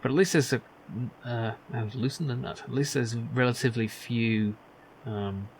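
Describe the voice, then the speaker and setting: A man chats casually through a video game he is playing. His pitch is average, and he muses aloud with a wry touch. Clear background noise sits behind his voice. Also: normal speed, low-quality recording. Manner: musing, wry, thinking aloud. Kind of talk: casual let's play commentary.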